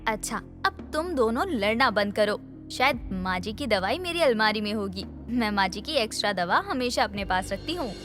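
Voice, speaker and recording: Woman acting scheming high-voiced elder sister-in-law. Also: animated, moderate pace, a bit bright, soft background music. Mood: falsely sweet. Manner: sly, sugary before others, plotting when alone. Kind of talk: dramatised Hindi moral story acting.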